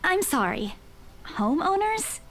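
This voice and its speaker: A woman's voice: very high-pitched, sing-song, takes it slowly, a bit bright, voice pushed up, exaggerated voice. A woman voicing a spunky young woman realtor who brushes off a head bump, in a perky, upbeat manner. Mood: puzzled. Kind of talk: visual novel reading.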